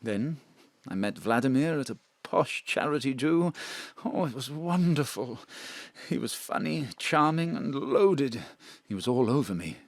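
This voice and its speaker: A man's voice: medium pitch, animated, measured pace, no echo. An actor playing a frightened male drag performer, with a theatrical, swooping storytelling manner. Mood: confiding. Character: male drag performer Bruno, also Mona, frightened fugitive. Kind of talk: audio drama acting. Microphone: home studio mic.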